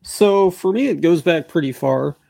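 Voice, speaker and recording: Gravelly forties man from the US West Coast. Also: fairly high pitch, moderate pace, dry recording. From West Coast of the United States. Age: 40s. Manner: relaxed storytelling, agreeable. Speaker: podcast co-host. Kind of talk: casual chat.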